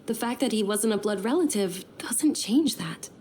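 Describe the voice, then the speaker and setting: Gentle, timid actress as a young noblewoman. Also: mid-range voice, lively intonation, moderate pace, slight background noise, close to an everyday voice. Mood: gentle, consoling. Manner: hesitant, polite, soft-hearted. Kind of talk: video game character dialogue.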